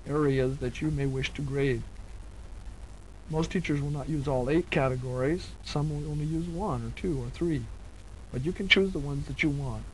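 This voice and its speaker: Male instructional narrator, slightly high voice, methodical step-by-step delivery, faintly noisy vintage 1970s cassette sound. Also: darkish tone.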